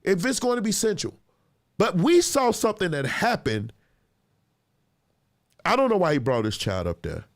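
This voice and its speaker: Animated man hosting reaction commentary. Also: high-pitched, normal speed, long pauses. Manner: animated, chatty, laughs often; talks straight to viewers, repeats himself for emphasis. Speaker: host reacting to clips; gospel musician and producer.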